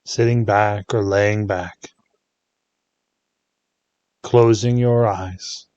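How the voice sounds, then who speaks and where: Very slow, low-voiced man guiding meditation. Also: fairly even intonation, dry recording, dull audio. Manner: soothing, hushed, with long pauses between short phrases. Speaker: guided meditation narrator. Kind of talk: guided meditation.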